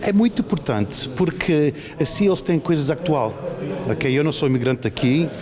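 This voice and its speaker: Higher-voiced Canadian-born man, emphatic and repetitive. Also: animated. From Toronto, Canada. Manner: emphatic, repetitive, halting search for words. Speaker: television producer being interviewed. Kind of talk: spontaneous interview answers. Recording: busy crowd chatter around the speaker. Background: crowd of people talking.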